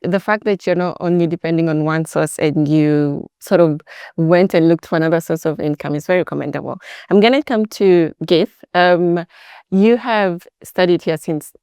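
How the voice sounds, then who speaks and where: Low, smooth-voiced woman interviewer. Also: moderate pace, dark tone, no room sound, studio quality. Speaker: host, interviewer. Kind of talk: interview questions.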